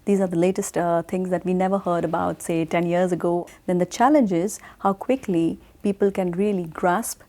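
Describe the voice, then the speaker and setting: A middle-aged woman from India answering interview questions in a measured way, often pausing with fillers. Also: fairly low pitch, steady tone, dark tone. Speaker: guest.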